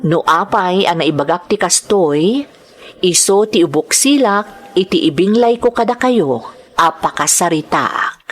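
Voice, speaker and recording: A woman's voice: fairly low pitch, animated, unhurried. A woman with an Ilocano accent reading from a script as host of a radio drama program.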